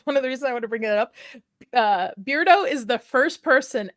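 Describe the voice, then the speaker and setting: A woman's voice: sits high, lively intonation, a little fast, no room sound, studio quality. A woman hosting a casual podcast from her home streaming setup, chatty and giggly as she greets people in the chat. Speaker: podcast host. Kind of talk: casual chat, reading out chat names.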